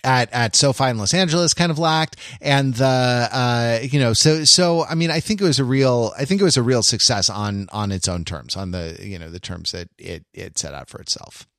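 A man hosting a podcast in casual discussion, talking in a halting, meandering way as he searches for words. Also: mid-range voice, fairly bright tone, no room sound, clean studio sound. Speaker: podcast host. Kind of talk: casual discussion of television and pop culture.

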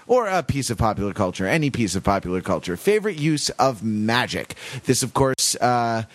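A male podcast host with a lively, animated delivery. His pitch moves up and down as he sets up the weekly topic. The sound is dry and close. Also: dry recording. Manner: lively, introduces the weekly question. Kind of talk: casual podcast hosting.

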